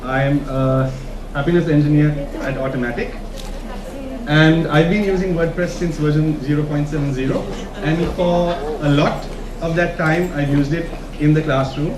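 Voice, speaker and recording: A man's voice: fairly high pitch, steady tone, unhurried, a crowd in the background. A man with a Sri Lankan accent giving an informal conference talk, often checking in with his listeners with short questions. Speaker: conference speaker. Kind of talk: informal presentation to an audience. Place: conference room, talk to a live audience. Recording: echoey room from far off, noisy.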